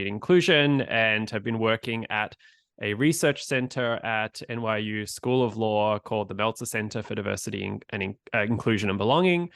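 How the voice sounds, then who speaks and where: A man in his thirties with an Australian accent, answering interview questions in a measured, explanatory way. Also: lowish voice, gravelly, fairly bright tone, smooth, no room sound. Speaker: guest author.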